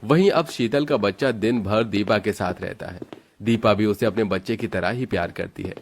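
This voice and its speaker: A man's voice: lowish voice, normal speed, slight background noise. A man narrating a scripted moral story for children as its storyteller.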